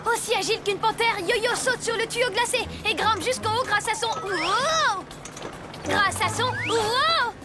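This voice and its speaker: An actor voicing a proud, impulsive six-year-old boy superhero in a dubbed children's cartoon, bold and excitable. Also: very high voice, a little slow. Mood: showing off. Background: loud music.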